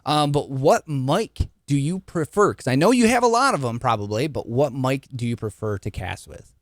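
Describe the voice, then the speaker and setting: A man hosts a casual podcast interview with a friendly, chatty manner. His pitch swings up and down a lot as he talks. He sounds close to a studio mic in a dry room at home. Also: sing-song, normal speed, dry recording. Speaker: podcast host. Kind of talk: casual interview chat over video call. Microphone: studio dynamic mic.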